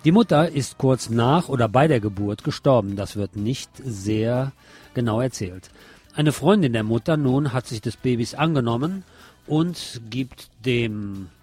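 A man's voice: mid-range voice, expressive, measured pace, darkish tone, breathy edge. A man giving a personal, opinionated film review in a conversational radio commentary style.

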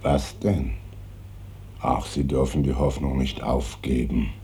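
A man's voice: fairly even intonation, slow, warm tone, a little hiss or hum, full character voice. An actor playing a grave, evasive male medical professor in a 1950s radio drama, speaking in a subdued, guarded voice. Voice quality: very deep, subdued. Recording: old radio recording with music underneath.

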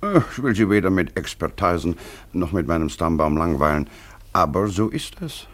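A haughty English aristocrat turned polite blackmailer for a radio play. The voice is very deep and swings widely in pitch, with formal, measured, pompous wording. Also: male voice, lively intonation, a bit bright. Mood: haughty, businesslike. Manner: formal, deliberate, pompous phrasing. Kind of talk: German radio play acting.

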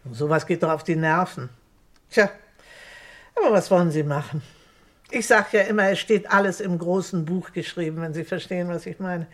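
Chatty, folksy housekeeper played by an actress. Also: female voice, on the low side, moderate pace, close to an everyday voice. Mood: chatty, knowing. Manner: garrulous, homely, chuckling. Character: chatty, folksy, good-natured female housekeeper. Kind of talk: German crime radio play acting.

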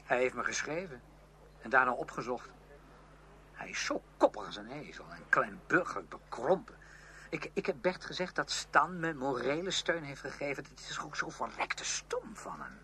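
An actor playing a headstrong, outspoken young music student in a Dutch radio play, speaking emphatically and with defiance. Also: male voice, medium pitch, big pitch swings, normal speed, sharp tone. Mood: brisk, bitter. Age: young adult. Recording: noisy old radio drama recording.

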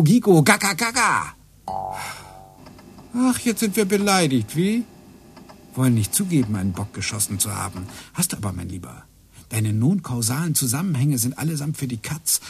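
An actor playing a brooding computer engineer who mutters to himself and grows agitated in a radio play. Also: male voice, mid-range voice, expressive, moderate pace, slightly rough.

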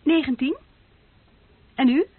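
A woman's voice: high-pitched, slow. An actress playing a candid, self-possessed female student of nineteen in a radio drama. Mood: friendly, direct. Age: young adult. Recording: narrow-band old radio play recording, very dry.

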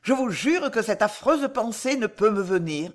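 A woman voicing a gentle, dignified noble mother in an audiobook novel, speaking solemnly and earnestly. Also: mid-range voice, lively intonation, moderate pace, pitched above the performer's own voice, natural voice. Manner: solemn, tender, formal theatrical speech.

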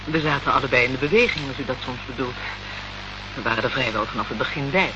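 A woman's voice: a bass voice, fast talker. An actress playing a cool, guarded woman of a political movement in a radio play, formal and evasive. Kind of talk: Dutch radio play acting. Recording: dull, noisy old radio play recording.

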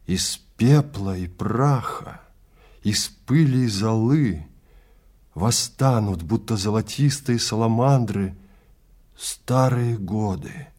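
A man narrating literary fiction in a theatrical, measured manner with deliberate phrasing. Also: fairly low pitch, darkish tone, slightly rough.

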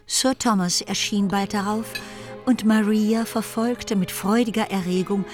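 A woman's voice: mid-range voice, fairly even intonation, a little slow. A woman narrating a literary radio drama in a scripted storytelling style. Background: music.

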